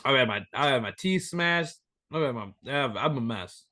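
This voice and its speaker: A man in his thirties joining a podcast over a remote call as a guest, talking in an animated, rambling way and swearing freely. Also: medium pitch, moderate pace, no echo. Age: 30s. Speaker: band member guest. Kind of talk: casual interview chat.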